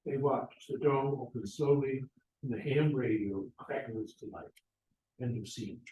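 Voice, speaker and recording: A man's voice: medium pitch, a little slow, dark tone. A man reading stage directions as scripted narration at a play table read. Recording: roomy, echoey, distant mic.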